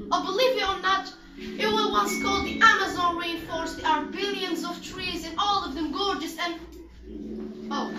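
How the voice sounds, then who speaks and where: A teenager performs a rehearsed spoken-word monologue in an earnest, declamatory manner. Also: very high-pitched. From Morocco. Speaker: student performer. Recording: echoey, distant mic, loud music behind. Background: loud backing music.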